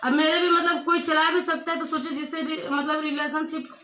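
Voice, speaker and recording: A woman's voice: sits high, fairly even intonation, normal speed, sharp tone. A woman in her thirties with an eastern Hindi-belt accent makes an insistent complaint on a phone call, repeating her points, recorded in a small room. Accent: eastern Hindi belt (Bihar/eastern UP). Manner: insistent, repetitive, remonstrating. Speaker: vlogger. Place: small bedroom indoors. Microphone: camera a short distance away. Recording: echoey small room, faint noise, clicks.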